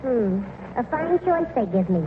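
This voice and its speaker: A tinny, very noisy old radio recording carries a brassy young New York gal. Her high voice swoops with picky disdain, in 1940s radio comedy style. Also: sing-song, measured pace. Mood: disdainful, picky. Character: picky, man-hungry, brassy young tavern woman.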